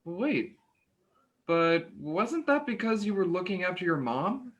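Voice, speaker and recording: An actor playing an awkward, polite young hospital worker who hesitates and stammers in a naturalistic play reading. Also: male voice, sits high, big pitch swings, a little slow, fairly bright tone, exaggerated voice. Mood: surprised, concerned. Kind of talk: naturalistic stage dialogue reading.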